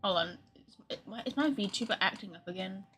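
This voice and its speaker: A woman's voice: mid-range voice, animated, normal speed, a bit bright, husky. A woman giving casual live game commentary as a streamer, with a blunt, sarcastic manner. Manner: reacts bluntly to dialogue, sarcastic.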